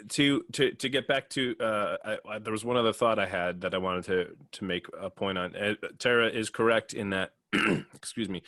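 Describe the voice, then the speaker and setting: A man co-hosting a podcast in casual discussion, halting and repeating words as he makes his points. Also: lowish voice, fairly bright tone, no echo, clean studio sound. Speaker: podcast co-host.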